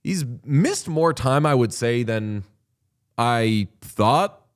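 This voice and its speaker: Smooth-voiced man hosting a sports show. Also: mid-range voice, takes it slowly. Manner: animated, swearing freely. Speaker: podcast host. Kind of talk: casual sports chat.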